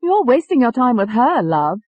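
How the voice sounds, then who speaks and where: A defiant young Englishwoman in an audiobook drama. Her voice is high and swings widely in pitch, with a sharp, contemptuous, taunting edge. The recording is close and dry. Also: sing-song, moderate pace, no room sound, muffled recording. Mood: brash, taunting. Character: brash, defiant, contemptuous young woman alter from London.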